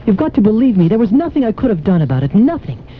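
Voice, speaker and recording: A man's voice: high-pitched, very animated, fast talker. An actor playing a concerned, apologetic male surgeon, earnest and reassuring, in old-time radio drama style. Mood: regretful, earnest. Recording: tinny, faintly noisy AM radio aircheck.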